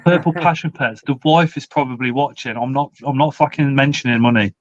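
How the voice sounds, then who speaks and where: A man's voice: medium pitch, fairly even intonation, brisk, no echo, dull audio. A man, hosting a casual live stream, reading out comments and swearing casually. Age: 40s. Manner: chatty, swears casually, laughs often. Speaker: livestream host.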